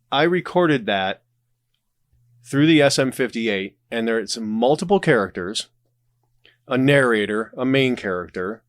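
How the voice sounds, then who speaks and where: A man's voice: mid-range voice, no room sound. Man with a smoker's voice, dry close sound. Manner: self-deprecating, wry joking, rambling asides. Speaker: podcast guest, audio drama creator. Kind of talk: casual conversational interview.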